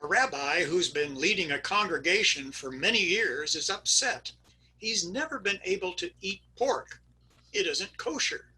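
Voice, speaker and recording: A man's voice: sits high, animated, unhurried, sharp tone, slightly rough, low-quality recording. An older man giving a performed reading of jokes and stories on a video call. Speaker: readers theater performer.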